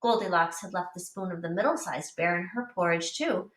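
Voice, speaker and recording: A woman teacher reading a picture book aloud to young children with deliberate, explanatory expression. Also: lowish voice, a bit bright. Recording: echoey room, distant mic.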